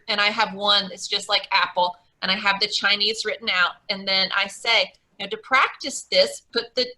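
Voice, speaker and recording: A woman's voice: mid-range voice, pushed and bright, poor audio. A woman hosting an online teacher meetup over a video call, talking in a chatty, rambling way with many fillers and restarts. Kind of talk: casual video call chat.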